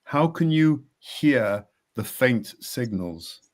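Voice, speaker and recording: Slow-paced male coach, measured and reflective, middle pitch. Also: animated. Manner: slow, measured, with repeated words and soft laughs. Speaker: executive coach. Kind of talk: reflective podcast conversation.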